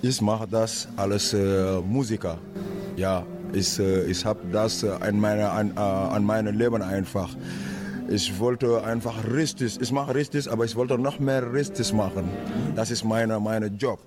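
A man from Senegal, a native Wolof speaker, interviewed as a drummer, speaking haltingly and searching for words. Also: on the low side, fairly even intonation, music in the background. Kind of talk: casual interview.

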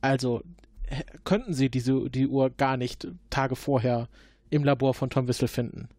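A man in an improvised role-play, questioning formally and probingly as an investigator, often halting mid-sentence. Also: medium pitch, steady tone, darkish tone, no echo, studio quality. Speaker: player acting as investigating agent.

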